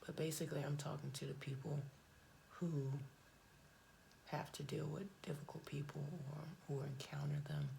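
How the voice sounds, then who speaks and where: A woman chatting casually through a makeup tutorial at home, blunt and chatty, her voice hoarse and cracking. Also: sits low, normal speed, mellow, a little hiss or hum, low-quality recording. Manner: chatty, blunt, frequent fillers and restarts. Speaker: beauty vlogger.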